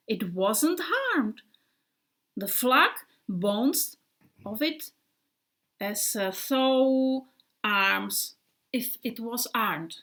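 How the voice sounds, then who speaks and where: A woman voicing a male bomber airplane who tells his wartime tale in a slow, dreamy way with hushed passages. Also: on the high side, full character voice. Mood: relieved.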